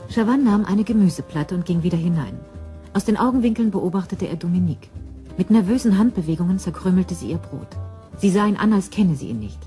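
A woman's voice: on the low side, lively intonation, fairly quick, warm tone, soft background music. A woman narrating a scripted crime story in a composed storytelling manner.

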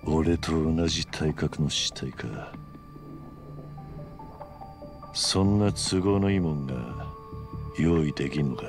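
A gruff, stoic former yakuza with a deep, low voice. He speaks tersely and guardedly, as a stubborn middle-aged game hero, with faint music behind him. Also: a little slow. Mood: wary. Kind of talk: dramatic video game cutscene acting.

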